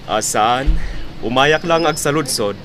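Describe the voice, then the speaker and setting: An actor with a Filipino accent playing a firm, reproachful police officer in a radio drama. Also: male voice, mid-range voice, measured pace, fairly bright tone, a little hiss or hum, close to an everyday voice. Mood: inquiring. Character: stern, lecturing male police officer.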